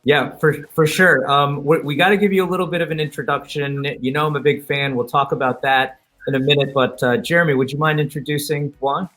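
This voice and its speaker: A man's voice: a little high, fairly even intonation, brisk, few pauses, fairly bright tone, faint music behind. Enthusiastic American male podcast host. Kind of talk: conversational show opening.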